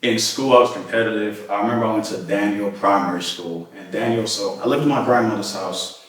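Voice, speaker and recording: A man's voice: lowish voice, a little fast. A man from Lagos, Nigeria, speaking as an interview guest and telling personal stories in a conversational way. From Lagos, Nigeria until age nine. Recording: very echoey room, distant microphone.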